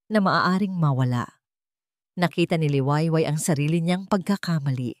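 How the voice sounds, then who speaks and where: A woman's voice: on the low side, a little mellow, no room sound, clean studio sound. A woman reading scripted fiction as a dramatic storyteller. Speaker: story narrator.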